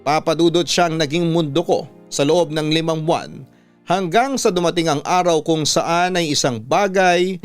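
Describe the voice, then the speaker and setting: A man with a Filipino accent narrating a sentimental first-person love story for radio in a measured, heartfelt way. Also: on the high side, soft background music, lightly acted. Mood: reflective, tender. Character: reflective narrator reading a letter writer's love story.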